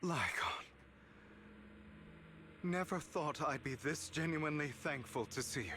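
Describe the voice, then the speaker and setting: A man's voice: a little high, expressive, a little slow, pushed and bright, slight background noise. Brooding, swooping young nobleman. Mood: weary relief. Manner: dramatic, bitter. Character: brooding young aristocrat antihero, defiant, wounded, vengeful. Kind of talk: video game dramatic acting. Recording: music under the voice.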